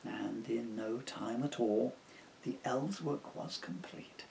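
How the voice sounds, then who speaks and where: A man reading his own rhyming children's book aloud as an expressive storyteller. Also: mid-range voice, slow, creaky, a little hiss or hum.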